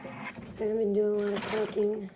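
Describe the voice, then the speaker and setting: A woman live streamer, hoarse and losing her voice, chatting bubbly and rambling with her viewers while making tea in her kitchen. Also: mid-range voice, monotone, brisk, noisy background. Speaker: live streamer greeting chat. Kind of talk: casual live stream chat.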